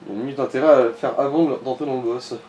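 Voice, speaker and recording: A man's voice: medium pitch, steady tone, racing, dark tone, slight background noise. A man making offhand, slangy remarks as a co-commentator on a video game. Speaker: co-commentator advising the player. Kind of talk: casual chat over gameplay. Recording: distant mic, music behind.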